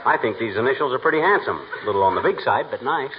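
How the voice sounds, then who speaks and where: A man's voice: mid-range voice, lively intonation, rapid-fire, lightly acted. Folksy blowhard husband guy, acted, voice bounces all over, old radio comedy, hissy muffled sound. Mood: self-important. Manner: folksy, blustering, mangles big words. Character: blustery, self-important husband, a bumbling small-time schemer. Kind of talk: 1940s radio comedy acting.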